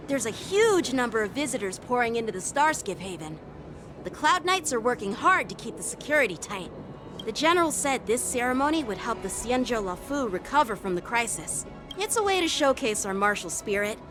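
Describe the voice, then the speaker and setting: Woman doing a peppy teen boy fighter in a game, lively up and down voice, music behind. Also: a little high. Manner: bright and chatty, animated. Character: eager teenage boy swordsman, upbeat. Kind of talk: game voice acting. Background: game soundtrack music.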